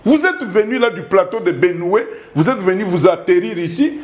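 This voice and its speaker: High-voiced, insistent Congolese man arguing. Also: expressive, normal speed, reverberant. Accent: Congolese French. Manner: insistent, argumentative, repeats himself, asks rhetorical questions. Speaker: interview guest in a political debate. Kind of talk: heated political argument.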